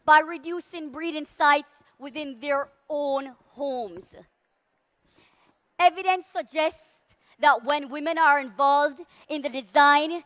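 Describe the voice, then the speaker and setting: A woman's voice: high-pitched, fairly bright tone. A Jamaican woman giving a passionate motivational talk at a conference, with a big voice, leading the audience in call-and-response. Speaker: conference speaker. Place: large conference hall stage.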